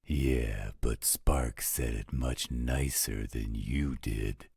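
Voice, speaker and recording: A man playing a gruff, grumbling, overprotective werewolf guardian with a gravelly, husky voice in a roleplay audio drama. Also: extremely low pitch, steady tone, darkish tone, dry recording, clean studio sound, full character voice. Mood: protective, disgruntled. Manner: slow, grumbling, disapproving.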